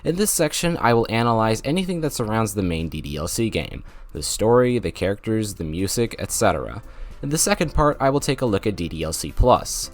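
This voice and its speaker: Young man, lowish voice, animated pitch, scripted review narration, self-aware humor, music behind. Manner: jokey, self-aware asides. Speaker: video game reviewer. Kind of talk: scripted video essay narration. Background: background music.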